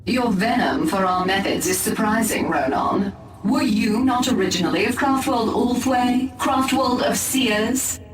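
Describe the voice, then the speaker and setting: A woman's voice: a little high, animated, measured pace. A woman playing a haughty, warlike alien elf leader in a video game, imperious and formal. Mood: cool, questioning. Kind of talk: video game cutscene acting. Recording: echoing, distant voice under loud music.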